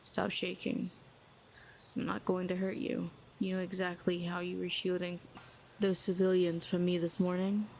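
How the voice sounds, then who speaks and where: A woman's voice: fairly low pitch, monotone, normal speed, vocal fry, a little mellow, a little hiss or hum. A woman playing a cold sardonic villain telepath. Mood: hushed coaxing. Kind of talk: audio roleplay acting.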